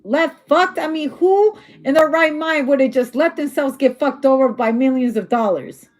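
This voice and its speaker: A woman's voice: high voice, moderate pace. Chatty woman reading tarot, losing her voice. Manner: chatty, blunt, swears freely. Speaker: tarot reader. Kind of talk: casual tarot reading to camera.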